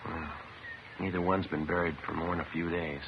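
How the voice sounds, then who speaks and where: An actor playing a terse, methodical police detective in a 1950s radio drama, matter-of-fact and understated in both dialogue and narration. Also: male voice, low voice, level tone, brisk. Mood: quietly intent. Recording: noisy, dull vintage 1950s radio recording.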